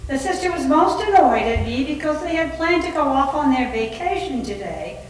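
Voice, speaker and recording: A woman playing a brisk, put-out woman in authority in a staged dramatic reading. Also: high-pitched, lively intonation. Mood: indignant. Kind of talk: readers theater, dramatic reading. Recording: very echoey, distant, noisy room.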